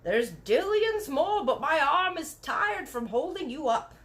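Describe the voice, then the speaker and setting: A woman voicing a gentle giant man with folksy, ungrammatical speech in a storybook read-aloud. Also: sits high, big pitch swings, unhurried, pitched above the performer's own voice, full character voice. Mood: patient.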